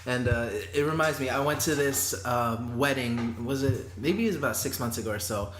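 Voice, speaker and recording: A man hosting a podcast, chatting casually and telling stories in an animated way. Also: medium pitch, moderate pace. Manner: chatty storyteller, animated when quoting others. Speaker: podcast host. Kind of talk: casual interview chat. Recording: roomy echo with faint noise.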